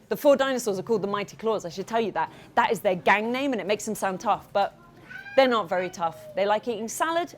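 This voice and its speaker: A woman reading her storybook live to children in an animated, interactive way, pausing to address them and ask questions. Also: medium pitch. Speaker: children's author reading own book. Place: room with an audience of children.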